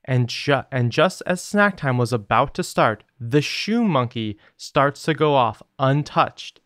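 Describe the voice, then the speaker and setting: A man's voice: mid-range voice, expressive, a little slow, fairly bright tone, no room sound, studio quality. A man co-hosting a podcast, reading listener emails aloud and chatting casually. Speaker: podcast co-host.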